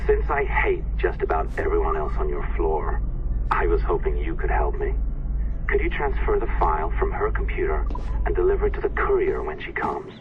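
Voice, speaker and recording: An actor playing a curt, sardonic office IT technician in a video game, giving dry instructions over a communicator. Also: male voice, low-pitched. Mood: sardonic, impatient. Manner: dry, impatient, deadpan sarcasm. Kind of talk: scripted game dialogue, instructions. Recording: muffled communicator audio with clear noise.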